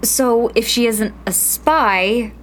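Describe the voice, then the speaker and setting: Actress as young rebel woman, stubborn n emotional, higher voice, takes her time, sci-fi audio drama. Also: slow, a bit bright, a little hiss or hum. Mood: skeptical, exasperated. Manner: insistent, emotional, skeptical. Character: headstrong skeptical young female rebel radio technician. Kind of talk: sci-fi radio drama acting.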